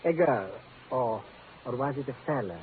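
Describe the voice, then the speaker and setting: An actor playing a quirky, chatty foreign-accented importer, hesitant and rambling, in a 1940s radio detective drama. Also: male voice, medium pitch, animated, normal speed, lightly acted. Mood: musing. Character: quirky foreign-born male curio shop importer, chatty, forgetful. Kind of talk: comic 1940s radio character acting. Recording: noisy lo-fi old radio.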